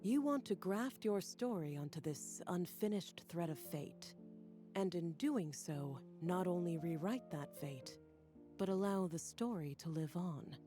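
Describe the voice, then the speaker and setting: A woman's voice: lowish voice, lively intonation, a little slow, mellow, faint music behind. A woman voicing an analytical scholar who speaks precisely. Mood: thoughtful. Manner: precise and academic.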